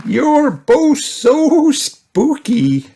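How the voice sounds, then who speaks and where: Man putting on a high, cheerful granny pig. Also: very animated, drawn-out words, dark tone, a faint crowd, a little hiss or hum, heavily acted. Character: kindly, cheerful old grandmother pig. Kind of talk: children's storybook character voice.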